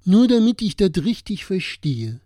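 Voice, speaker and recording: A man plays a concerned, wondering citizen in a scripted audio play. His voice sits fairly high, and the recording is dry and close, with the delivery of a satirical dialogue. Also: very animated, a little slow, warm tone, dry recording. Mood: baffled.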